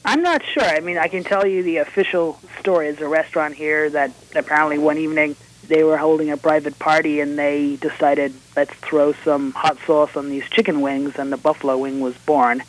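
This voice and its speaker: A man with an Irish accent softened toward American, calling in by phone as an author and lawyer, explaining in a measured, hesitant way. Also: fairly high pitch, steady tone, rough recording. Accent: Irish, partly Americanized. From Republic of Ireland. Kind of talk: call-in radio interview. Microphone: telephone line.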